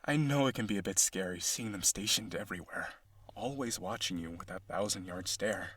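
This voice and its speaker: A man's voice: low voice, fairly quick, fairly bright tone, husky. A man playing a lonely, boastful vampire aristocrat in an audio roleplay, genteel, dramatic and insistent in his possessive wooing. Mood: brooding, lonely. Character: lonely, boastful rich vampire brother, possessive yandere suitor.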